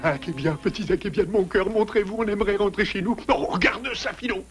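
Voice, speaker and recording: A man's voice: high-pitched, quick pace, breathy edge, faint music behind. A man voicing a big, timid, dim animal in a French cartoon dub, with a plaintive, comic delivery. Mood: pleased, wheedling.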